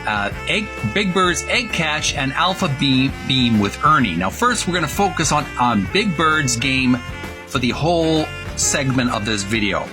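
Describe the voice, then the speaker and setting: A man hosting informal video commentary, halting as he goes and drawing out key words for emphasis. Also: mid-range voice, big pitch swings, over music. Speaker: host of a retro gaming channel. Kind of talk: informal video commentary with read-aloud passages.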